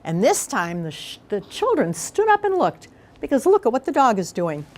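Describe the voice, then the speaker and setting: A woman's voice: a little high, huge pitch swings, a little mellow. Woman reading a picture book to children. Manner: explanatory, pauses to question the children. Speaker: mayor reading aloud to schoolchildren. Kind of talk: picture-book read-aloud with informal explanations to children.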